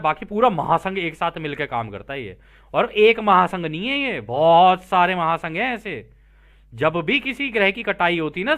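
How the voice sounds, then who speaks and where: A man's voice: high voice, a bit bright. A man reading out and explaining a text in an informal, conversational way, often repeating phrases for emphasis. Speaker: host reading and explaining a translated book. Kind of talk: informal spoken commentary on read-out passages.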